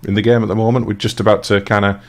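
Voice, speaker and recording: A British man with a fairly low voice hosts a let's play. His delivery is casual, unscripted live commentary. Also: flat delivery, very fast, darkish tone. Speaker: let's play host and player.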